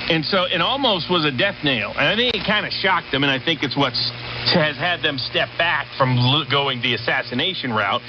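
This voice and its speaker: A man's voice: on the high side, animated, normal speed. A man giving unscripted political analysis as an interview guest, emphatic and building his points in lists and repeated phrases. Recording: dull, noisy shortwave radio relay.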